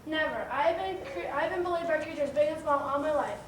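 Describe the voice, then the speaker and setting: Woman playing a high-voiced evil ant, echoey stage. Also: brisk, lightly acted. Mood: gloating, defiant. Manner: theatrical, declaiming stage villain. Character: menacing, boastful evil ant with a grudge. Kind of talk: children's stage play acting. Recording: echoey stage, distant mic, background noise.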